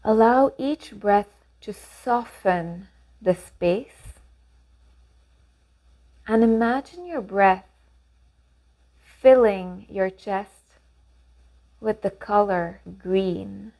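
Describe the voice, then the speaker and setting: A woman leading a guided meditation, giving gentle, measured instructions with pauses between phrases. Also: medium pitch.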